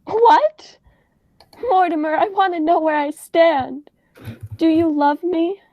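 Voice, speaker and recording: A spirited young woman in love played by a teenage girl in an amateur radio play. The voice is high, and the delivery is dramatic and insistent, quick to take offence or alarm. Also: lo-fi sound, a little hiss or hum. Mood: indignant.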